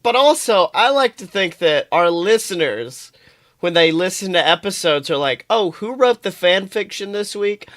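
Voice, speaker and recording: A man co-hosting a podcast in casual comedic chat, swearing freely with animated exclamations. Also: high voice, moderate pace. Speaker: podcast co-host.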